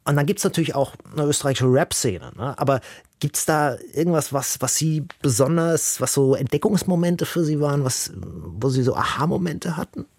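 A man's voice: a little high, expressive, moderate pace, creaky, a little mellow, breathy edge, no room sound, clean studio sound. A man hosting a radio music interview, talking conversationally and thinking aloud as he shares his opinions. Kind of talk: radio interview with spoken introduction.

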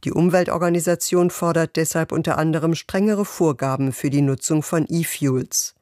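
A woman reading the radio news in a steady, measured way. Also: low-pitched, no echo, clean studio sound. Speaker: newsreader.

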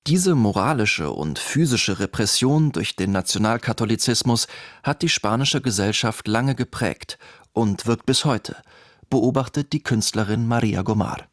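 A man's voice: on the low side, expressive, unhurried, no echo, studio quality. A man narrating a scripted radio documentary.

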